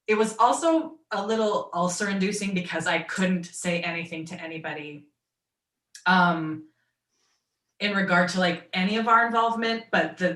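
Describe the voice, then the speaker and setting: A woman with a naturally low voice and a Midwestern accent, telling stories as a guest on a video call. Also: fairly bright tone, echoey room, far from the mic. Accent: American Midwestern. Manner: chatty storytelling, quoting others in asides. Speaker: guest voice actor and writer. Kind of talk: online fan Q and A.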